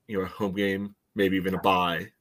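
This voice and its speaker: Male sports podcast co-host, lower register, conversational and halting, padding his analysis with filler phrases. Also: little pitch movement, a bit bright. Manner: hesitant, filler-heavy phrasing with frequent "you know". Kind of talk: casual soccer analysis chat.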